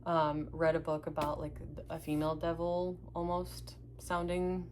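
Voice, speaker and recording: A woman's voice: deep voice, monotone, measured pace, darkish tone, slight background noise. A young woman, Russian her first language, chatting casually as she unboxes books and reads blurbs aloud at home, laughing at her own stumbles. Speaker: book unboxing vlogger.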